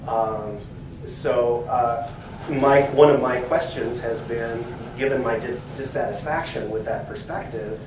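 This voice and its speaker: A man professor speaking at a library talk, hesitant, filling pauses with uh and checking in with "right?". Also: mid-range voice. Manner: hesitant, many uhs, ends points with "right?". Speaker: professor, co-editor. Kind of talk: academic talk to a library audience. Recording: echoey room, far mic, noisy.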